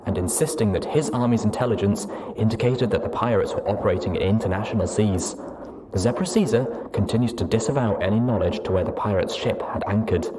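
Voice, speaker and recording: A man with a low voice narrates a story in the first person, reading it as a dramatic audiobook. There is noticeable noise behind his voice. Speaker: audiobook reader.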